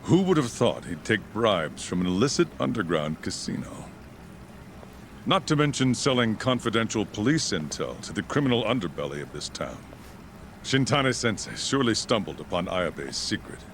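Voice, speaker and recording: A man playing a scheming chief prosecutor in a video game, formal, deliberate and smugly polite. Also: fairly low pitch, very animated, a bit bright, a little hiss or hum. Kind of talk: English video game cutscene acting.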